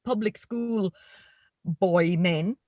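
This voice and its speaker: A woman's voice: on the low side, drawn-out words, dark tone, dry recording, clean studio sound. A woman with a rural Irish accent speaking earnestly and emphatically as a panelist in a discussion. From Ireland.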